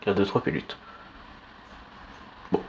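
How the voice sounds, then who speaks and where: A man giving a rambling, informal political monologue in a halting, sardonic way, with slang and swearing. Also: muffled recording. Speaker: online political commentator. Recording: clearly noisy background.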